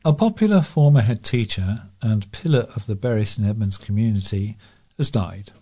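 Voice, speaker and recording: Mellow British man reading local news. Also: on the low side, expressive, moderate pace, husky. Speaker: volunteer local news reader.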